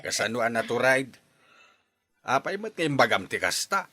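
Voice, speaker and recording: An actor playing a puzzled old village husband in a radio drama. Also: male voice, mid-range voice, big pitch swings, moderate pace, bright, forceful tone.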